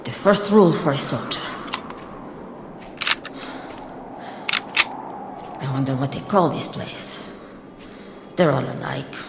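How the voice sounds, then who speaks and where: A woman's voice: rumbling low, lively intonation, moderate pace, vocal fry, background noise, exaggerated voice. An actress playing a weary, hungry lone woman soldier in a radio drama, muttering to herself dramatically. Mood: wary, weary. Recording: reverberant radio drama with music behind.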